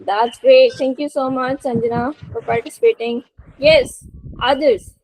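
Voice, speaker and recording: High-pitched woman teaching English online. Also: steady tone, measured pace, a little mellow, rough recording. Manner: encouraging, prompting participants. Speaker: English teacher hosting a webinar. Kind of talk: spoken English lesson to a group. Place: online video call.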